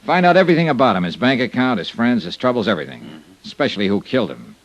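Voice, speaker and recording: A man's voice: medium pitch, sing-song, fast, no room sound, low-quality recording. An American man playing a tough, commanding investigator in an old-time radio drama, with clipped, hard-boiled delivery. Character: tough, commanding insurance investigator, brisk and determined. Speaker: lead actor and narrator of a detective radio serial.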